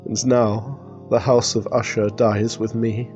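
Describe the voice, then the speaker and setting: Gothic radio drama role: anxious, bereaved lord of a decaying house, fragile, faint music behind. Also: male voice, on the low side, flat delivery, takes it slowly, darkish tone, lo-fi sound. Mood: grave, anxious. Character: grieving, anxious master of an old house, fragile.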